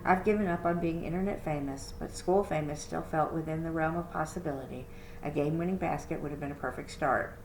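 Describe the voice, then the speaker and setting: A woman's voice: deep voice, a little fast. A stubbornly hopeful middle-school girl from a storybook, acted out by a woman reader. She is sarcastic and dramatic about her own shortcomings. The sound is roomy, with faint noise behind. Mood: hopeful, scheming. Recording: roomy echo, background noise.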